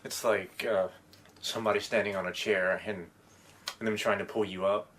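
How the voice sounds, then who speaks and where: A man with a low voice talks on a casual podcast, pausing often to fill gaps with "uh" and "um". Light background hiss runs beneath him. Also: steady tone, a bit bright. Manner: hesitant, many "uh" and "um" fillers. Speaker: podcast co-host.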